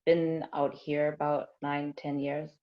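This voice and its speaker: A woman from Zimbabwe living in Germany, an artist speaking as a discussion guest in a relaxed, joking way. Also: deep voice, slow, highs cut off.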